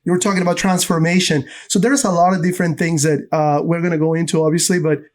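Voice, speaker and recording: A man's voice: a little high, fairly even intonation, fast. A man from Ecuador hosting a podcast in an enthusiastic, informal chat, addressing both his guest and the audience. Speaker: podcast host.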